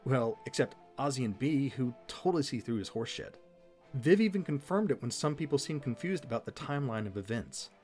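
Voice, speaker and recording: A man of medium pitch gives casual, scripted fan commentary with very lively intonation. He sounds like a recap co-host, with soft music running underneath. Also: brisk.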